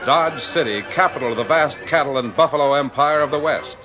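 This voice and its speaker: An actor as the solemn, declamatory American narrator of an old radio western. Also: male voice, a little high, fairly quick, lightly acted. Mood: dramatic. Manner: declamatory, portentous. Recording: muffled old radio recording, loud music.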